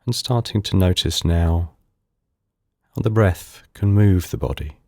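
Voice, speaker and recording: A man leading a guided meditation in calm, unhurried, soothing short phrases with pauses between them. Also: low voice, no echo, clean studio sound. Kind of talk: guided body scan meditation.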